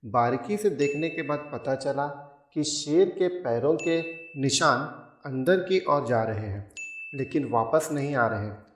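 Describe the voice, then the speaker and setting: A man narrating a scripted children's cartoon story in a steady, clear storytelling manner. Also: medium pitch, a little slow, a little hiss or hum. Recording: echoey with music under it.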